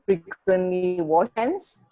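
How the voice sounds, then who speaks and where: Low-pitched woman chatting on radio. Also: expressive, dark tone. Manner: chatty, halting, rambling. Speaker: radio show co-host. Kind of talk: casual radio chat.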